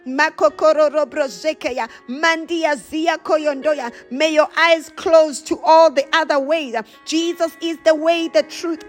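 A high-voiced woman delivers a charismatic prayer as a preacher would to her listeners. She repeats short declarative phrases and moves between plain exhortation and speaking in tongues. Faint music is heard along with her voice. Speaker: preacher praying over listeners.

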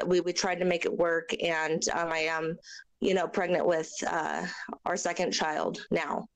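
A young woman with a raspy, hoarse voice from a chest cold, sharing her story as an interview guest in a halting, earnest way with many fillers. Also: fairly low pitch, poor audio. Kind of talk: personal testimony in a podcast interview.

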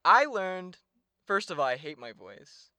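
Young male podcast co-host, bright and smooth, chatty and casually profane. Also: sits high, big pitch swings, a little slow, no room sound. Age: young adult. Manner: chatty, laughs often, swears casually. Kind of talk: casual chat. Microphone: sits some way back from the mic.

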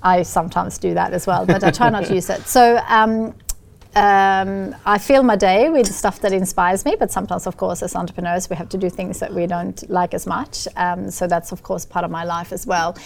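A middle-aged woman from Sweden with a Swedish accent, talking in an interview as a guest, often pausing with fillers and restarting. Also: medium pitch, steady tone, vocal fry, slight background noise. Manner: hesitant, many fillers and restarts. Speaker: guest, business founder. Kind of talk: casual interview talk.

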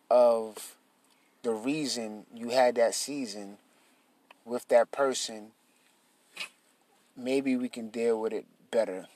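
A man's voice: medium pitch, a little hiss or hum. Casting: easygoing man co-host, loose and rambling, with a teasing streak. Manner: relaxed, rambling with fillers, teasing. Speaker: podcast co-host. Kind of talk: casual chat.

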